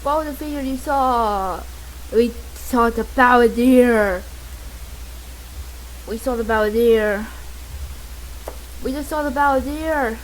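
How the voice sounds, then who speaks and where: A woman's voice: fairly high pitch, takes it slowly, pauses often. A young woman in her teens giving casual gameplay reactions and reading along at home. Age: teens, high-school student. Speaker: gameplay commentator. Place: at a desk at home. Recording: noisy home recording, dog barking. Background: dog barking, game audio.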